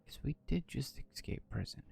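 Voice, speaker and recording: A man giving soft-spoken ASMR gameplay commentary as a video host, hushed and often close to whispering. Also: moderate pace, a little hiss or hum. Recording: loud game ambience behind voice. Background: video game ambience.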